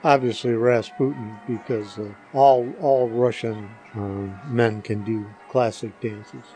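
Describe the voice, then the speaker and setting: Older male guest, medium pitch, hesitant dry understatement in casual answers, faint music behind. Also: steady tone, slow, mellow, poor audio. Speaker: podcast guest, the hosts' father.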